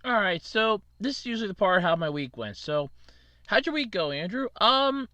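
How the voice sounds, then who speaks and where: A man's voice: high voice, big pitch swings, normal speed, bright, forceful tone, no room sound, muffled recording. A man hosting a casual solo podcast, his throat scratchy from allergies, rambling and correcting himself with little laughs. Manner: rambling, self-correcting, chuckling. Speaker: podcast host.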